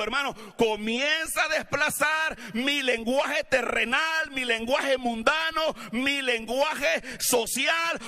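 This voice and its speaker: High, bright-voiced preaching man. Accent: Latin American Spanish, not Mexican. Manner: preaching, rising to shouts with congregation calls. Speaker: pastor. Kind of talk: sermon. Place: church sanctuary. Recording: long hall reverb.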